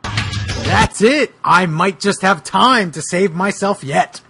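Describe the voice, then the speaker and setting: Man putting on a slow, hammy, taunting monster wrestler. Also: sits high, expressive, gravelly, sharp tone, husky, no room sound, faint music behind, full character voice. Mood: hammy scheming. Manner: slow, drawn-out, exaggerated delivery.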